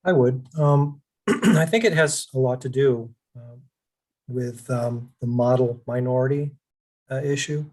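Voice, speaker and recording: A man with a mid-range voice on a video call. He talks plainly and earnestly as a panelist, pausing on small fillers as he goes. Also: medium pitch, darkish tone.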